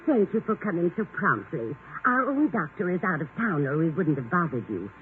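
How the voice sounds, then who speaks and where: A high-pitched, chatty man in an old radio comedy, polite and oblivious. The intonation is very lively, on a thin vintage broadcast. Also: moderate pace, narrow, tinny audio, slight background noise. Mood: polite, hurried. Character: polite, chatty householder mistaking callers for help. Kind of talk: 1950 radio comedy acting.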